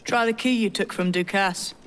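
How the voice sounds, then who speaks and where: An actress playing a secretive, canny young pirate in a video game, speaking in a knowing, plain sailor's manner. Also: female voice, mid-range voice, normal speed, a little hiss or hum, lightly acted. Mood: prodding. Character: young pirate mate, secretive, canny, teasing mentor figure. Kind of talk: video game cutscene acting.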